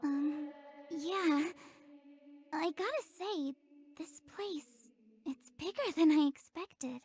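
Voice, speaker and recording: A woman's voice: high voice, takes it slowly. A woman playing a dreamy, awkward young female visitor in a visual novel, with a hesitant, musing manner. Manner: hesitant, soft-spoken, musing. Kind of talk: visual novel voice acting, inner monologue and dialogue.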